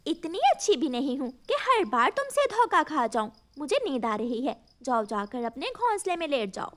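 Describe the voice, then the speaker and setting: A woman's voice: high-pitched, very animated, moderate pace, fairly bright tone. A woman voicing a clever, firm little female bird with polite manners in a children's cartoon story. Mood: scolding.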